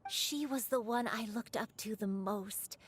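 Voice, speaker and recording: An actress playing a gentle, remorseful old crane spirit in human form, telling a story in a measured, confessional way in a video game. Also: female voice, fairly high pitch, breathy edge. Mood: nostalgic. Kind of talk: scripted video game voice acting, storytelling monologue.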